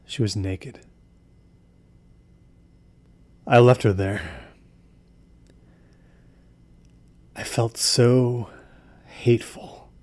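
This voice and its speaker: An actor playing a haunted, obsessive, embittered man in an audio drama, speaking in a halting, deliberate way. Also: medium pitch, steady tone, a little mellow, lightly acted. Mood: bleak, haunted. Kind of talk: audio drama acting, brooding confessional storytelling.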